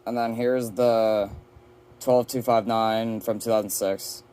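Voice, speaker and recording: A man's voice: fairly low pitch, level tone, slow pace, background noise. A man casually presenting his collection in a show-and-tell vlog, recorded at home. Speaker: collector presenting a weather radio shelf tour. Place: indoor room at home with a shelf of radios.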